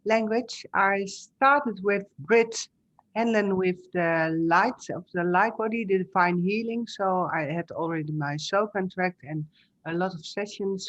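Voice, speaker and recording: A woman's voice: lowish voice, dull audio. A woman from the Netherlands with a Dutch accent, a Dutch native speaker, introducing herself on a webinar call and choosing her words carefully. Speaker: webinar attendee. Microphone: video call.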